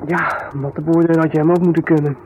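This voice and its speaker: Man acting easygoing husband, higher male voice, casual, slightly defensive, radio play, muffled phone-like sound. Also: little pitch movement, fast talker, close to an everyday voice. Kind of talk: naturalistic radio drama acting. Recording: muffled, phone-like 1970s radio recording, noisy.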